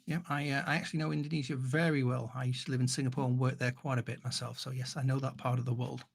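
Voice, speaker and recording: A man's voice: medium pitch, flat delivery, fast talker, vocal fry. A man hosting an interview podcast, introducing his guest and asking questions. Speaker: show host and interviewer.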